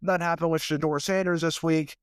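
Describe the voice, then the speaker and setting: A man's voice: fairly high pitch, fairly even intonation, a little fast, clean tone, no room sound, studio quality. Stuffy-nosed man hosting a sports podcast. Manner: casual, rambling, repeats words when restarting. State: stuffy from sinuses. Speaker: podcast host. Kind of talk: solo sports podcast talk.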